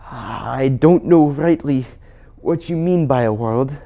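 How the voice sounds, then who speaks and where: A man voicing a stubborn, earnest, plain-spoken marsh creature with a halting, dogged delivery. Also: medium pitch, highs cut off, pitched above the performer's own voice. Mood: labored, defiant.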